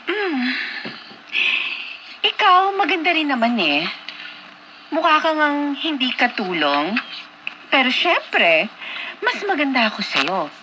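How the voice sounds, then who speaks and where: A woman's voice: high voice, big pitch swings, slow pace, pauses often, noisy background, full character voice. An actress playing a vain, haughty rich heiress in her twenties in a radio drama, conceited and dramatic, with a Filipino accent. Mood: smug, vain. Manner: conceited, dramatic, code-switching Taglish. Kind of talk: Philippine radio drama acting.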